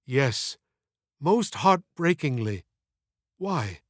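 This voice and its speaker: A man's voice: mid-range voice, very animated, drawn-out words, no echo, studio quality. A man voicing a devoted poet in an audiobook, courteous, deferential and flowery in his speech. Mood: solemn. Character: devoted male poet friend, courteous, gently admiring and florid. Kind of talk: audiobook character dialogue.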